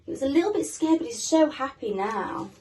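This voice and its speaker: Female storybook reader, high pitch, expressive delivery for young children. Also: fairly quick.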